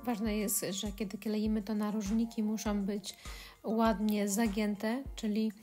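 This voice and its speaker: A woman talking through a step-by-step scrapbooking tutorial, pausing often with filler sounds. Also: medium pitch, flat delivery, dark tone, faint music behind. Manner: hesitant, many filler sounds. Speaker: crafting tutorial host. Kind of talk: step-by-step craft tutorial voice-over.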